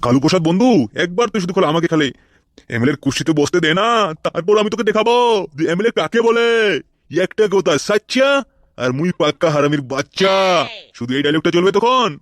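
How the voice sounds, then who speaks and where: Man voicing a high-pitched, blustering cartoon gangster. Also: lively intonation, quick pace, no room sound, studio quality. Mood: boastful, impatient. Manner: blustering, coarse, swearing constantly. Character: scheming, foul-mouthed male gangster and political rival in comedy cartoon. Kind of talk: Bengali comedy cartoon dubbing.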